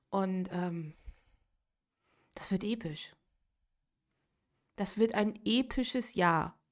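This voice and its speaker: A woman in her late thirties talks casually on her live game stream, thinking out loud and answering viewers as she goes. Her voice sits in an ordinary middle pitch, close to a desktop streaming microphone. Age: 30s. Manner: chatty, thinks aloud, reacts to chat.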